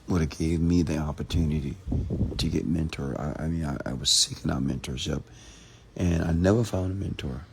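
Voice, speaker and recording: A man from Texas, hoarse after a seminar, hosting a late-night livestream, greeting viewers by name and giving motivational talk. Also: extremely low pitch. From Texas, USA. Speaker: entrepreneur hosting a live stream.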